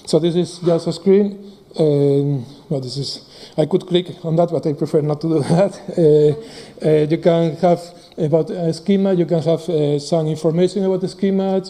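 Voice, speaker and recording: A man giving a live technical talk, speaking haltingly with many fillers. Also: on the high side, warm tone. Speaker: conference presenter.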